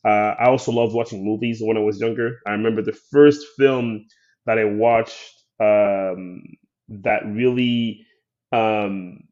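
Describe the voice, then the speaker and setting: Male guest from Haiti, low soft voice, thoughtful, lots of "um", dull lo-fi sound. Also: fairly even intonation, unhurried. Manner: soft-spoken, thoughtful, many "um"s. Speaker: guest filmmaker. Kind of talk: interview storytelling.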